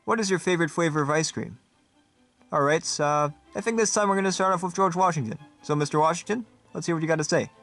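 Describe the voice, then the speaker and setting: Man doing a high, bright, peppy debate moderator. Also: quick pace, soft background music. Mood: upbeat, showy. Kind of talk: game-show style hosting.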